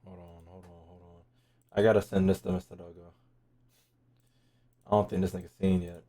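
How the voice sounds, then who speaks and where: A woman's voice: very deep voice, flat delivery, a little fast, mellow. A woman giving casual, exclamatory gaming reaction commentary full of slang and swearing. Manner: exclamatory, profane, informal. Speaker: gaming content creator reacting to story readings.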